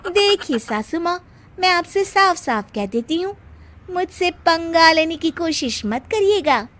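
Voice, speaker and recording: A woman's voice: extremely high pitch, lively intonation, unhurried, a bit bright, slight background noise, heavily acted. A woman voicing a bold, sassy young daughter-in-law with a playful manner in a cartoon story. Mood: defiant. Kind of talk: Hindi cartoon story character acting.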